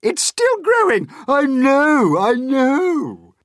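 A shrill, bossy storybook aunt played by a man, shrieking far up high and swooping wildly. She is snappish, mean and easily excited, with a dry, close sound. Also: extremely slow, no room sound, heavily acted. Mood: thrilled shrieking. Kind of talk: audiobook character voice.

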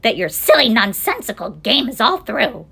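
A woman's voice: a little high, sing-song, normal speed, a bit bright, husky, pitched above the performer's own voice, full character voice. A woman voicing a bossy, scornful female kangaroo, a storybook villain with a snappish, haughty manner. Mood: scornful, indignant.

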